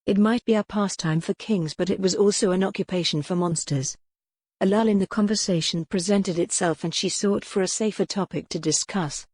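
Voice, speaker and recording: A woman's voice: mid-range voice, normal speed, darkish tone, breathy edge, no echo. A woman reading scripted, dramatic narration for a historical romance audiobook.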